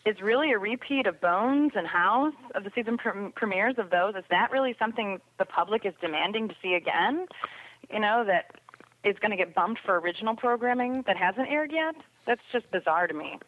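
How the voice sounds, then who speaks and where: A woman's voice: medium pitch, lively intonation, a little fast. A woman chatting casually about television in an opinionated, emphatic way over a remote call. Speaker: podcast guest commentator on sci-fi TV. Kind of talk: casual TV review chat. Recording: dull, phone-like line.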